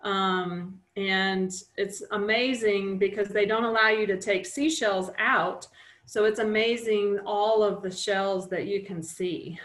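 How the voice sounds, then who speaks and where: A middle-aged woman presenting a nature webinar over a video call, relaxed and chatty, with many fillers and restarts. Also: medium pitch, takes it slowly. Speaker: wildlife nonprofit director presenting a webinar. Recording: roomy video-call audio.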